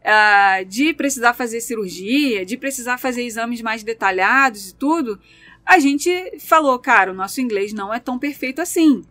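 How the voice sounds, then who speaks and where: A woman's voice: fairly high pitch, animated, normal speed, fairly bright tone, dry recording, soft background music. A Brazilian woman with a Brazilian Portuguese accent, chatting casually and explaining things through lists of examples. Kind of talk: casual chat.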